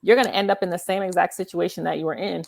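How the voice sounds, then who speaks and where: A woman's voice: lowish voice, steady tone, racing, gravelly, darkish tone. A woman hosting a conversational interview, explaining emphatically and often checking in with the listener. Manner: emphatic, frequent check-in questions like "right?". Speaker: talk show host.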